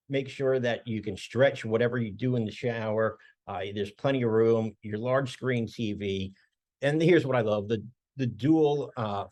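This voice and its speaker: A man hosting a travel talk in a relaxed, rambling way. He often stops with "um" and restarts his sentences. His voice sits at an ordinary middle pitch. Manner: chatty with frequent fillers and restarts. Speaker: travel host. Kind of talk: casual travel vlog narration.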